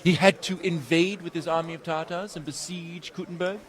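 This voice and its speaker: A man's voice: a little high, steady tone, moderate pace, a bit bright, close to an everyday voice. A man playing a hot-headed, scornful loyalist townsman who declaims emphatically in a video game cutscene. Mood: outraged.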